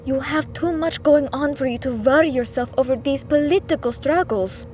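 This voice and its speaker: An actress playing a patient but firm middle-aged wife and mother in an audio drama, speaking in measured, formal phrases. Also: high-pitched, a little mellow, faint music behind, close to an everyday voice. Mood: concerned, pleading. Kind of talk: scripted audio drama acting.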